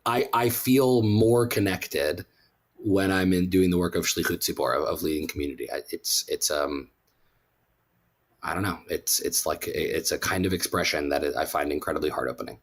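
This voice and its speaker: A low male voice in a conversational interview. He speaks as a rabbi and prayer leader, earnest and reflective, often pausing and circling back to rephrase.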